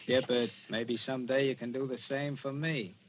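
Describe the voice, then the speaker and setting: An actor playing a scheming, smooth-talking crook who coaxes his pal in a 1940s radio drama. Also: medium pitch, fairly even intonation, normal speed, slight background noise, close to an everyday voice. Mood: coaxing, assured. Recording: muffled old radio broadcast recording.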